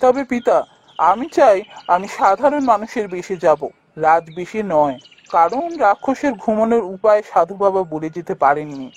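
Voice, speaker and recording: Young fairy-tale prince: high-pitched, courteous, earnest, for animation. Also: male voice, lively intonation, moderate pace, muffled recording. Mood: respectful, resolute. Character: earnest, polite young prince posing as villager.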